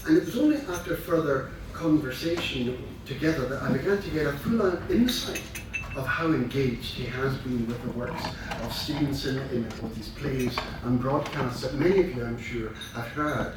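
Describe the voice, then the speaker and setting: An older man giving a formal introduction of a speaker, measured, courteous and slightly halting. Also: medium pitch. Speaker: club president introducing a speaker. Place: large room at a club event. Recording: very echoey room, distant mic, noisy.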